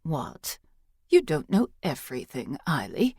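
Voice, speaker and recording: A woman voicing a wise, patient old priestess in an audiobook, measured and instructive with gentle teasing. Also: low-pitched, wildly swooping pitch, breathy edge, dry recording, studio quality, full character voice. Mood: gently teasing. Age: older.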